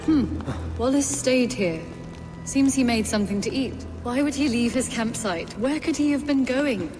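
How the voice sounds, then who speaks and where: An actress playing a keen, eager young huntress in a video game, speaking in an intent, urgent and coaxing way. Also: female voice, fairly high pitch, normal speed, lightly acted. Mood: wary, focused. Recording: loud music behind the voice.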